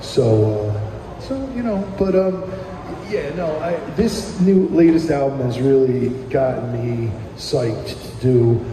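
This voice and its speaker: A man from New Jersey, a guest musician, telling stories in a casual radio interview, rambling and animated with many fillers, in an echoey live room. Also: medium pitch, slow, background noise. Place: live broadcast at a plaza venue.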